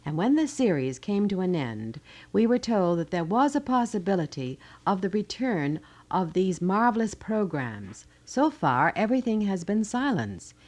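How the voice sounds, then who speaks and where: A woman's voice: medium pitch, big pitch swings, normal speed, a little mellow. A woman with an American accent co-hosting a live radio program, reading aloud and prompting in a polite conversational way. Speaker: radio co-host. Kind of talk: live radio co-hosting, reading listener letters and asking questions. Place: radio studio.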